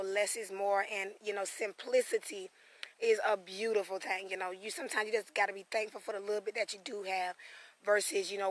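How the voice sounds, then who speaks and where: A hoarse woman from South Carolina, chatting loosely on a live-stream vlog outdoors on family land, greeting viewers by name as she goes. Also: medium pitch, fairly bright tone. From South Carolina, USA. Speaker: spiritual reader and vlogger. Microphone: phone camera.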